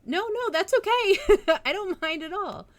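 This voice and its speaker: A woman streamer chatting casually and reading a novel aloud, with an excitable, bubbly manner. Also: very high voice, moderate pace. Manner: excitable, bubbly, breaking into laughter. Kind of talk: casual livestream chat and book narration.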